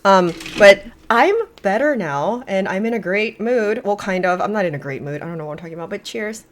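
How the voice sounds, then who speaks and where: A woman's voice: medium pitch, expressive, brisk, slight background noise. A woman co-hosting a casual podcast with her sister, chatty and full of fillers, often saying "girl.". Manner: chatty, says "girl" a lot. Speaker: podcast co-host. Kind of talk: casual chat between sisters.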